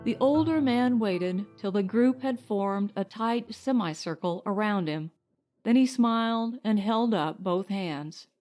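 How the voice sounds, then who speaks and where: A woman narrating a scripted story as an audiobook reader, in a measured, deliberate manner. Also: medium pitch, expressive, warm tone, faint music behind.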